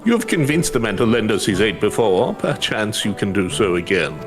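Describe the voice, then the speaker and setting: A man's voice: on the low side, sing-song, brisk, music in the background. Man playing a courteous, anxious elderly scholar. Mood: tentative, persuasive. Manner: formal, archaic, hesitant wording. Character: elderly male scholar, courteous, earnest, anxious, devoted to research. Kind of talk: fantasy game dialogue read aloud.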